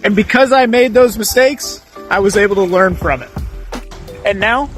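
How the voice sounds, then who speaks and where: A man, a life coach co-hosting a podcast, introducing himself in slow, deliberate short phrases. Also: sits high, soft background music. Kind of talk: personal introduction, reflective monologue.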